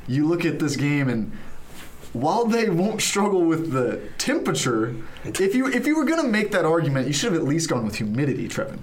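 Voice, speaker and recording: A man's voice: fairly high pitch, big pitch swings, a little fast. Young man, college sports podcast co-host, casual teasing chat, studio mic. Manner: joking, teasing.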